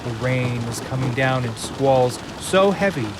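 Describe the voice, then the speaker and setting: A man narrating an audio drama from a script in a deliberate, suspenseful way. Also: medium pitch, animated, noisy background. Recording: loud rain and storm effects behind.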